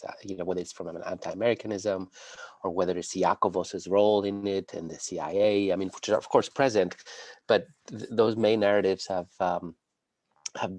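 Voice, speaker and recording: A man's voice: deep voice, a little mellow, no room sound. A man moderating an academic discussion off the cuff, effusive and wordy, often searching for the next word. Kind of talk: spontaneous event moderation.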